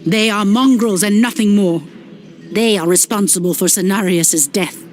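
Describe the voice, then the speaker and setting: Een vrouw speelt een trotse elfenpriesteres. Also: female voice, mid-range voice, normal speed, natural voice. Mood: proud. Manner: proud, scornful. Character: proud female elf priestess, fierce and scornful. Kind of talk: game cutscene acting. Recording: voice over loud music.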